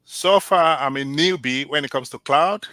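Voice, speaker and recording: A man from Nigeria whose first language is Yoruba, answering interview questions as a job candidate in an earnest, measured way with small restarts. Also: fairly high pitch, pushed and bright, no echo.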